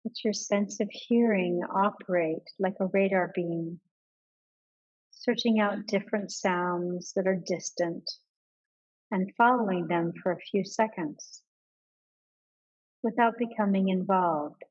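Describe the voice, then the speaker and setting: Woman guiding meditation, dull lo-fi sound. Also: mid-range voice. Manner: calm, measured instruction with pauses. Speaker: yoga nidra meditation guide. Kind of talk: guided meditation.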